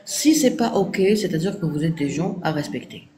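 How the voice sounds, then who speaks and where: A woman's voice: low voice, lively intonation, moderate pace, background noise. A woman speaking French with an Arabic accent, Arabic as her first language, answering viewers in a firm, didactic live stream and checking agreement with "ok?". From Morocco. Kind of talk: casual live-stream talk.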